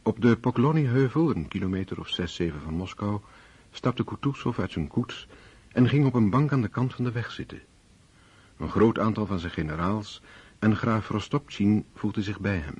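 A man narrates a literary radio drama in a scripted, storytelling style. His voice is very deep and dark, with a heavily husky grain. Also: animated, moderate pace, muffled recording.

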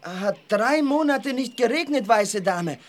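Eager, polite little hotel boy, high-set and unhurried, broken grammar, children's radio play. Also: expressive, a little hiss or hum. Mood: worried. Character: Bubu, friendly, eager young African hotel boy. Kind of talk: German children's audio drama acting.

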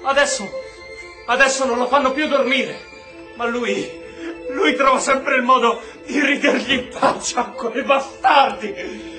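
High-pitched, earnest prisoner. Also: male voice, steady tone, unhurried, roomy sound, over music, low-quality recording. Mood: tender, sorrowful. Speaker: actor. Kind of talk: Italian stage-style radio drama acting.

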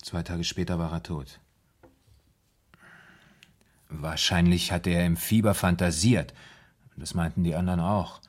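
A man's voice: low-pitched, steady tone, moderate pace. An actor playing a troubled, deferential ex-soldier in a radio play, earnestly recounting his story. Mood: reflective, earnest. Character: troubled, deferential red-haired ex-soldier recounting strange memories.

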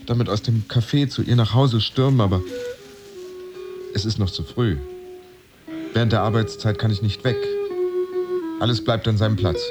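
A man acting an anxious, proud pretend office worker, narrating his thoughts tensely and deliberately in a radio play. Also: on the low side, natural voice. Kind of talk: interior monologue in a radio play.

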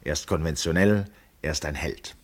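An actor playing an admiring, observant man in a literary radio drama, measured and poetic. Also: a bass voice.

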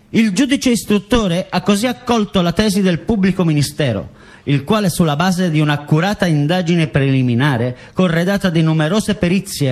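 A high male voice with very lively intonation, an actor playing a self-important church bureaucrat on stage. He declaims official legal statements formally and pompously. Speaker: stage actor in a documentary theatre play. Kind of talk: theatrical reading of official statements.

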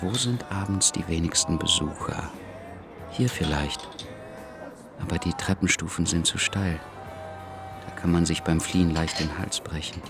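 Deep-voiced brooding husband. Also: male voice, a little slow, pauses often. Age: 30s. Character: despondent thirty-year-old husband planning his own murder. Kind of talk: radio drama inner monologue and dialogue. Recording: music behind the voice.

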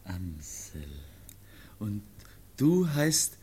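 A gentle foundling youth in a radio play. His voice is dark, soft and husky. He sets down simple childlike words extremely slowly, with long halts between them. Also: lowish voice, very animated, full character voice. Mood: wondering, earnest. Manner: halting, childlike simple phrases. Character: gentle teenage foundling pupil learning to speak.

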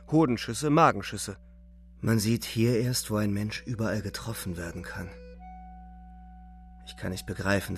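A man playing a weary, despairing young German soldier, narrating gravely and deliberately in a literary radio play. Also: lowish voice. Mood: grim, bleak. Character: twenty-year-old German soldier, weary, despairing, reflective.

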